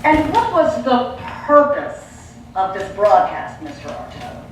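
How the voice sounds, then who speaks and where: A woman playing a puzzled, formal radio interviewer with deliberate questioning in a theatrical mock interview. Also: a little high, sing-song, slight background noise. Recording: distant, very echoey stage.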